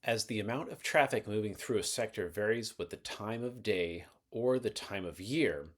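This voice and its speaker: Male explainer narrator, lower register, measured and methodical scripted read.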